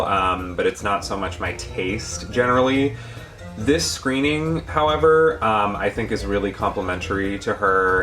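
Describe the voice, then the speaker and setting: A man co-hosting a review channel, chatting casually in a measured, appreciative, conversational way about a product. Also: sits low, a bit bright. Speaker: doll review channel co-host.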